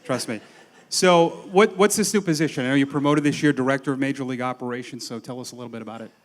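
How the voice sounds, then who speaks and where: A man interviews a guest, asking friendly, chatty questions. His voice sits at a middle pitch and sounds easygoing. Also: quick pace, big-room sound. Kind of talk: casual interview at a dinner event.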